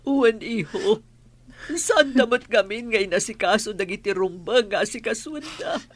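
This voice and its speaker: A woman playing a sorrowful elderly grandmother in an Ilocano radio drama, speaking in a husky, halting voice. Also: on the high side, expressive, no room sound.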